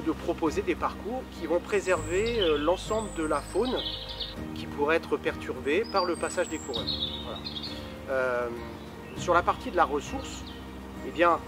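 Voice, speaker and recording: A man's voice: a little high, expressive, normal speed, over music. A man, giving an informal testimonial as a volunteer event organiser, hesitantly searching for his words. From Paris, France. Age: 60s.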